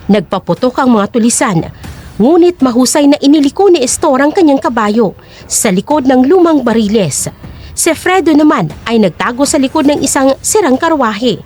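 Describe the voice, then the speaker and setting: A woman's voice: high voice, a little mellow. A woman narrating an audio drama in a dramatic, scripted storytelling style.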